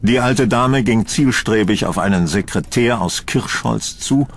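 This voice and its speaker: Slow, gravelly male narrator. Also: fairly low pitch. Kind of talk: scripted audio drama narration.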